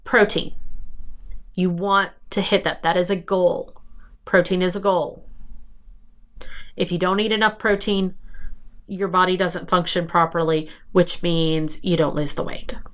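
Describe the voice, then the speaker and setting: A woman in her thirties, raspy after the flu, explaining diet basics in a chatty, casual way with many fillers and restarts. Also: lowish voice, steady tone, a little slow, long pauses. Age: 30s. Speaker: vlogger. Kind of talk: casual how-to talk to camera.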